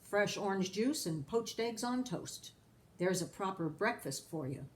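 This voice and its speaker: A woman's voice: mid-range voice, animated, a little slow, slight background noise, lightly acted. A woman voicing a hearty, proper father in a children's storybook, with old-fashioned, declarative phrasing. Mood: hearty, satisfied.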